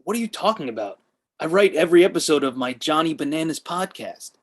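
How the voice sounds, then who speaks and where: A man's voice: fairly high pitch, expressive, quick pace. A man playing a pushy, deluded washed-up actor with an earnest, self-important manner in a comedy table read. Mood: cocky, scheming. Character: Drama, pushy deluded male washed-up actor pitching ideas.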